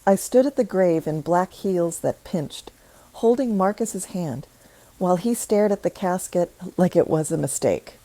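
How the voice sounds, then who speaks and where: A woman narrates a scripted personal story in a measured, thoughtful manner. Her voice sits low with a gravelly edge and a soft, warm tone. The recording is close and dry. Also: no echo, rough recording.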